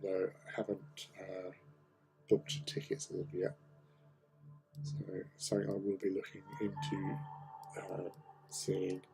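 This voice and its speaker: Hoarse man chatting slowly. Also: deep voice, completely flat delivery, music in the background, low-quality recording. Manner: rambling, hesitant, many ums. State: hoarse from a cold. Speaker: hobby stream host. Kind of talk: casual chat while painting.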